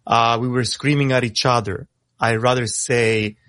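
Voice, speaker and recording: A young man who grew up in Italy, with Italian as his first language, talking casually over a video call in a halting way with many fillers. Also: medium pitch, fairly even intonation, fairly bright tone, dry recording, studio quality. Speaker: rapper guest.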